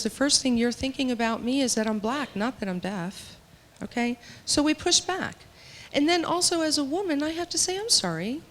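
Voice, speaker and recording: A woman speaks in a measured, earnest way and leans into emphatic points now and then. She voices for a panelist at a panel discussion. Her voice sits at an ordinary middle pitch, and a long hall reverb trails behind it.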